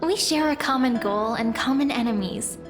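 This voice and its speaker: A woman performs a young video game heroine with a high voice, speaking over clear background music. She is gentle and hopeful, a devoted companion who encourages earnestly and teases lightly. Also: moderate pace, natural voice. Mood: friendly, playful. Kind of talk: scripted video game dialogue.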